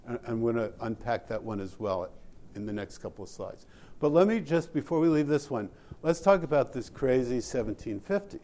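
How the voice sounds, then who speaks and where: A man's voice: medium pitch, animated, normal speed, warm tone. A man presenting pricing data from slides at an industry session, asking the audience for input and restarting sentences often. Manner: explains slides, polls the audience, many restarts.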